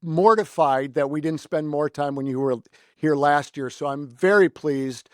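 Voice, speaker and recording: A man's voice: a little high, measured pace, no echo, studio quality. An American man hosting a casual podcast interview in a chatty, informal, effusive way. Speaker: podcast host.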